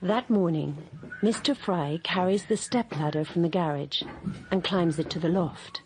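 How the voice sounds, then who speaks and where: A woman narrating a radio drama in a measured, unhurried storytelling manner. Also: lowish voice, darkish tone, slight background noise. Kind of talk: scripted narration.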